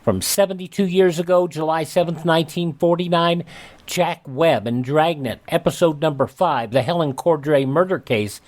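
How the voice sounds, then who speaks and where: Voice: mid-range voice, moderate pace, a little mellow. A radio host reading scripted segments in a folksy way, dropping in personal asides.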